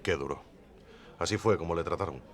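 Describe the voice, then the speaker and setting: An actor playing a sympathetic, apologetic documentary interviewer who prompts gently and briefly. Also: male voice, low voice, a little fast, a faint crowd, natural voice. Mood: sympathetic, probing.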